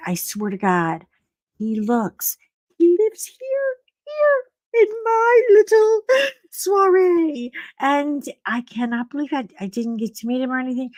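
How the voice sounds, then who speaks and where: A middle-aged woman hosting a casual live stream, chatting to viewers and telling a story with a slightly froggy voice and big swoops of pitch on her greetings. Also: a little mellow, no echo.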